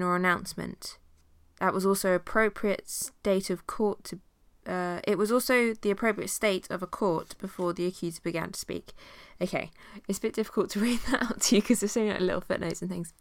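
A woman's voice: medium pitch, steady tone, a little fast, dry recording, clean studio sound. Nasal woman with a cold teaching. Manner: chatty with fillers, reads passages aloud. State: has a cold. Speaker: Bible study teacher. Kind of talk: informal Bible teaching.

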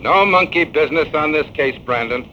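A man's voice: fairly high pitch, normal speed, close to an everyday voice. A man playing a curt, impatient police inspector. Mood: gruff. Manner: curt, blunt orders. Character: gruff middle-aged male police inspector, impatient. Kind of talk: 1940s radio acting. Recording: dull old radio transcription with faint hiss.

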